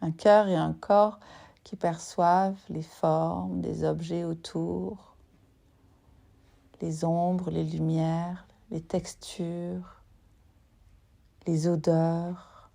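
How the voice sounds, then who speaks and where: A woman leads a guided yoga nidra relaxation as the teacher, soothing and unhurried, leaving long pauses between short phrases. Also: on the low side, little pitch movement, vocal fry, dark tone. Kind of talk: guided meditation.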